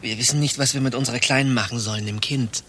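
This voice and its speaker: An actor playing a restless, teasing administration chief in a radio play, with clipped, offhand answers. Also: male voice, medium pitch, fairly even intonation, fast, bright, forceful tone, a little hiss or hum, natural voice. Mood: subdued. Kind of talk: German radio play acting.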